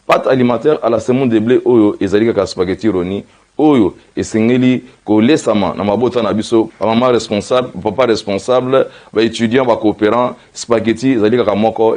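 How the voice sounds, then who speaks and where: A man's voice: on the low side, fairly quick, darkish tone. A man promoting a food product with insistent, repetitive praise. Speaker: advertising pitchman.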